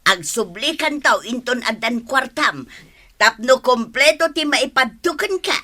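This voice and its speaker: A woman's voice: high-pitched, lively intonation, a little slow, pushed and bright, heavily acted. A woman playing a money-minded village fortune teller in Ilocano radio comedy, bossy and exclamatory with a Filipino accent. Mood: brusque, haggling. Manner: exclamatory, haggling.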